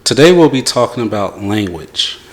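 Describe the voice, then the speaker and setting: A man hosting a podcast in casual chat, talking in a loose, unhurried way with frequent fillers. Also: on the low side, sing-song. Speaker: podcast host.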